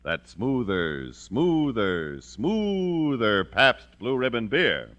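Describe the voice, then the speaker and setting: A man's voice: mid-range voice, very animated, drawn-out words, a bit bright, highs cut off. A man working as a radio announcer, reading scripted sponsor copy and credits in 1950s broadcast style. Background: music.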